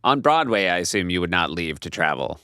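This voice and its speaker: A man co-hosting a podcast, relaxed, mostly giving short affirmations and asking halting questions. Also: sits low, clean tone, no room sound, clean studio sound. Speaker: podcast co-host. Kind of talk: casual interview chat.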